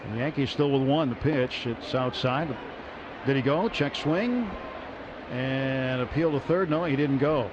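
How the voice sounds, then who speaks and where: A man calling baseball play-by-play on the radio, brisk and lifting on big plays. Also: medium pitch. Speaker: play-by-play announcer. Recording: muffled radio broadcast with noise.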